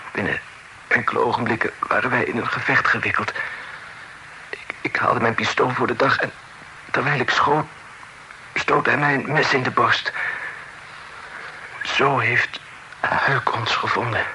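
An actor playing a proud, mortally wounded gentleman in a radio drama, confessing remorsefully in weak, halting, broken phrases. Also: mid-range voice, poor audio. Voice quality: breathy, halting, weakened. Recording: radio recording with faint noise.

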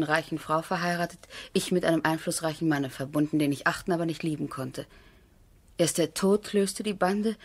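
An actress playing a thoughtful, wary aristocratic wife in a radio drama, with measured, intimate, softly breathy delivery. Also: female voice, on the low side. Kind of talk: 1970s German radio drama acting.

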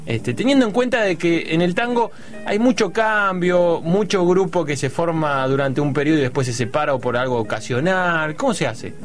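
A man with a Rioplatense accent hosting a radio program, introducing guests and chatting in a relaxed, joking way. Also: on the high side, normal speed, faint music behind. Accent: Rioplatense Spanish. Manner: relaxed, joking, rambling host. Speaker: radio host. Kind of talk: casual radio chat. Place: radio studio.

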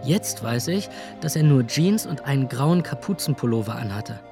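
An actor playing a drifting young would-be crime writer, giving a dry, self-deprecating monologue in a radio drama. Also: male voice, medium pitch, expressive, moderate pace, creaky, over music. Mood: sober, reflective. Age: young adult.

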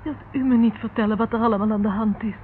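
A woman's voice: fairly high pitch, fairly even intonation, fast, natural voice. An actress playing a frightened, bewildered young woman who pleads hesitantly in a radio drama. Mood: pleading. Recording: tinny band-limited old radio recording, noisy.

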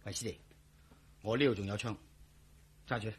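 An actor playing a jittery, blustering police lieutenant colonel in a radio drama, with a Hong Kong Cantonese accent. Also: male voice, lowish voice, fairly even intonation, moderate pace, slight background noise, natural voice. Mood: subdued, anxious.

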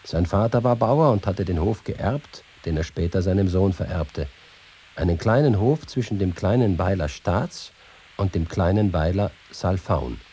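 Low, mellow male literary narrator. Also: lively intonation, a little slow, husky. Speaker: narrator reading a reporter's written account.

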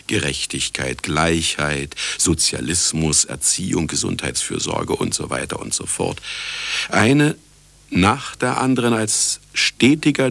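Very deep, gravelly older man, sardonic. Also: fairly bright tone, dry recording, full character voice. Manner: sardonic, self-important, talking to listener and sound engineer. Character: cynical, vain, retired male scientist telling his own story. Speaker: narrator and lead actor in a radio play. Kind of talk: satirical radio drama monologue.

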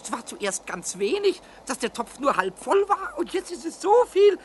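Shrill, excitable little kobold. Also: male voice, moderate pace, a little hiss or hum. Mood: curious. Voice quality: shrill, creaky. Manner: stammering, repeating words, exclaiming. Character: cheeky little male kobold, childlike, excitable and stubborn. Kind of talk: 1970s children's radio play acting.

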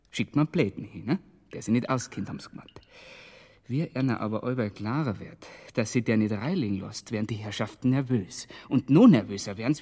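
An actor playing a shrewd, determined investigator with a Bavarian accent, explaining emphatically in colloquial dialect in a radio crime drama. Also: male voice, mid-range voice, darkish tone, close to an everyday voice. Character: shrewd, determined male investigator piecing together a village conspiracy.

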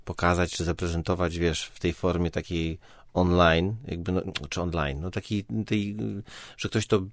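Deep-voiced man chatting casually. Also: little pitch movement, moderate pace, dry recording, studio quality. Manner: informal, hesitant, thinking aloud with trailing sentences. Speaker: podcast guest, stage comedian and improviser. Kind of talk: casual conversation.

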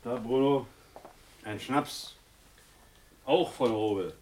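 A man's voice: medium pitch, slow, a little mellow, a little hiss or hum, lightly acted. An actor playing a grumbling, offhand man serving in a village pub in a German radio play, colloquial and muttering. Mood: serving, brisk.

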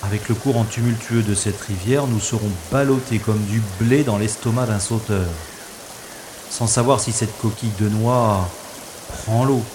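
A man's voice: fairly low pitch, steady tone, moderate pace, noisy background, lightly acted. A man playing a wisecracking male secret agent in a French science-fiction audio drama, with dry, ironic delivery. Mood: narrating calmly. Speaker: lead character in an audio drama. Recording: rain and water sound effects behind.

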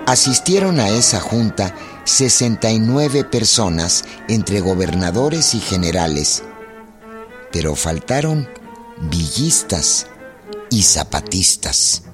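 A man giving formal, measured narration for a historical radio drama. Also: fairly low pitch, big pitch swings. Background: instrumental music.

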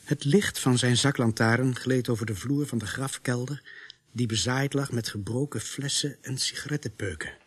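An actor narrating a macabre radio-play thriller in a grave, dramatic, husky voice. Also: male voice, mid-range voice, moderate pace, slight background noise, natural voice. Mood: grave, deliberate.

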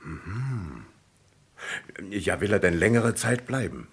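An actor playing a courteous, methodical French police commissioner in a vintage German radio crime drama, speaking politely and deliberately with probing questions. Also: male voice, low-pitched, big pitch swings, breathy edge, heavily acted.

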